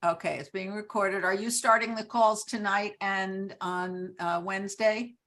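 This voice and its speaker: A woman's voice: mid-range voice, fairly even intonation, unhurried, fairly bright tone. An older woman hosts an informal conversation, welcoming people and making introductions. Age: 60s or older. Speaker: organization president hosting the conversation.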